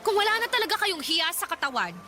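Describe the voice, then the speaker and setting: A woman's voice: extremely high pitch, very animated, fast talker, soft background music, full character voice. A woman playing an indignant, embarrassed young daughter with a Filipino accent in a radio drama, scolding in exasperation. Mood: exasperated, scolding. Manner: sharp, exasperated. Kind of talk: Tagalog radio drama acting.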